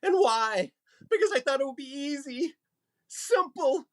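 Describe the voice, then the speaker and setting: Whiny frazzled shop clerk guy, really high voice, drags his words, old radio comedy, coming unglued. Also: expressive, measured pace. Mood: exasperated despair. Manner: whining, self-pitying, repeats himself. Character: distraught male department store clerk cracking into hysterics.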